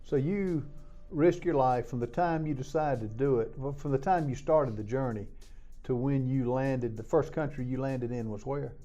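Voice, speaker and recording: A man hosts an interview, firing short, probing follow-up questions in a dark, mellow voice. The recording sounds slightly dull, with faint music underneath. His pitch sits in the middle. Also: moderate pace, few pauses. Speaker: congressman hosting the interview.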